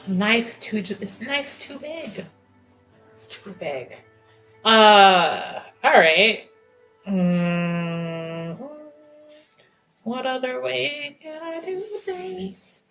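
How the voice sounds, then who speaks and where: Woman, tabletop player, unhurried pace, playful casual chat, thinking aloud, faint music behind. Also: mid-range voice, fairly bright tone.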